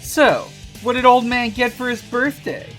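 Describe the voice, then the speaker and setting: A woman's voice: a little high, a little slow, soft background music, voice pushed up, close to an everyday voice. A woman voicing a cocky, teasing male cartoon hedgehog hero while reading fan fiction aloud. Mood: teasing, exclaiming.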